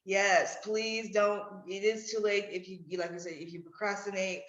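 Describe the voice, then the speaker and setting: A woman's voice: mid-range voice, flat delivery, normal speed, a bit bright. Woman podcast host, echoey dull audio. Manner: affirming, echoes and agrees with guest. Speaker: podcast host interviewing a guest. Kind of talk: conversational interview.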